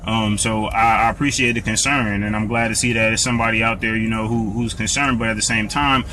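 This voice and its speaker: American guy on a live q&a, earnest and preachy, keeps restarting his sentences, sharp punchy tone. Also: on the low side, fairly even intonation, brisk, a little hiss or hum, rough recording. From United States. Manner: earnest and preachy, with frequent restarts and repeated words. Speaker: livestream host answering viewer comments. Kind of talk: live Q&A talk.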